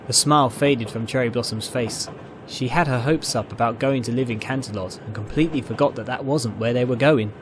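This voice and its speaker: A man narrates a dramatic story in a medium-pitched voice. The delivery is scripted storytelling, with faint vehicle and outdoor ambience laid behind it. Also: brisk, noisy background. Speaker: narrator, fan fiction reader.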